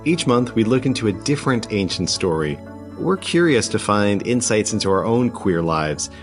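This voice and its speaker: Male podcast narrator, deep pitch, relaxed and wry storytelling delivery, music bed behind. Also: moderate pace. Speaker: podcast host and producer.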